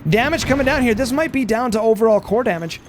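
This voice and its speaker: Male game caster, high voice, hoarse from long sessions, rambling play-by-play over faint game noise. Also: fast talker. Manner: energetic, rambling, many fillers and restarts. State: hoarse, losing his voice. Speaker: game commentator. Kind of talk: live play-by-play commentary.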